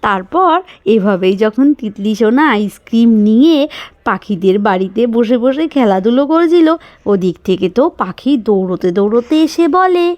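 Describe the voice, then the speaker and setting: A woman's voice: on the high side, animated, moderate pace, dark tone, dry recording, dull audio. A woman narrating a children's doll story as a storyteller.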